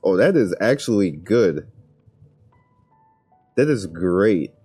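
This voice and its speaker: Casual male gamer, very deep voice and unhurried delivery, talking through his play in slangy asides. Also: sing-song, darkish tone, husky, faint music behind. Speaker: gaming video creator. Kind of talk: casual gameplay commentary, thinking aloud.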